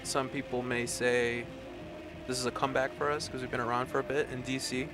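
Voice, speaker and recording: Brief: male singer, Spanish first language, hesitant and thoughtful, mid pitch, club with music behind. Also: little pitch movement, a little fast. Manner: thoughtful, searching for words with many ums. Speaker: singer and guitarist. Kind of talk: casual interview answers.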